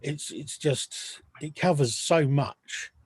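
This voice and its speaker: Man hosting a podcast, fairly high voice, halting and self-correcting, dry close sound. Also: slightly rough, no echo. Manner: halting, many restarts and apologetic asides, laughs often. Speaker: podcast host. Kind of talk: casual interview chat.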